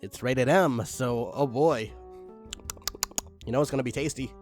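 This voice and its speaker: A man reading a badly written story aloud with mocking deadpan asides and spoken punctuation, over faint music. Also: mid-range voice, unhurried. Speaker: reader of online stories. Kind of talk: comedic dramatic reading with commentary.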